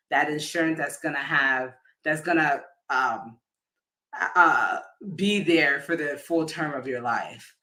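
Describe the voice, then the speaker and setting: Bright, halting insurance agent man. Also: on the high side. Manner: hesitant, many fillers and restarts; addresses viewers informally. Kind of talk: casual livestream explainer.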